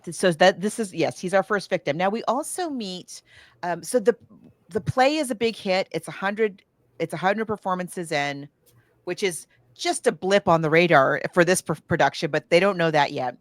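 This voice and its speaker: Woman podcaster talking movies, lowish voice, close dry sound, chatty and emphatic. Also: lively intonation, normal speed, dry recording. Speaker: podcast co-host. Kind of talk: casual film discussion, recapping a plot.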